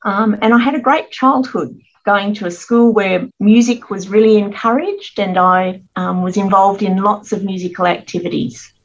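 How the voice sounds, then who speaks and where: Australian woman, middle-aged, guest interviewee; earnest, measured telling; muffled, lo-fi sound. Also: medium pitch. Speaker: guest author. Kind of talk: conversational interview storytelling.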